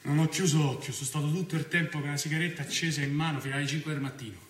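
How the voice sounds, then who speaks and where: An actor playing a restless, foul-mouthed young fugitive in a theatrical monologue, with a Roman accent and a colloquial manner. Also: male voice, on the high side, very fast, natural voice. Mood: brooding, fed up. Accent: Roman Italian. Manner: colloquial, swearing, swinging from brooding to excited play-acting. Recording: roomy, distant mic with faint noise.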